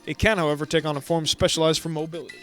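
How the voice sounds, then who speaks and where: A man's voice: on the high side, fairly even intonation, a bit bright, soft background music, lightly acted. A man reading aloud the lines of a courteous, formal male academy director in a video game. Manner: courteous and measured.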